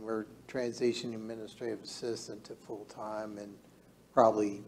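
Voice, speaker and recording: Mellow-voiced town staffer, matter-of-fact. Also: male voice, lowish voice. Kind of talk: meeting discussion. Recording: faint room noise, meeting hall.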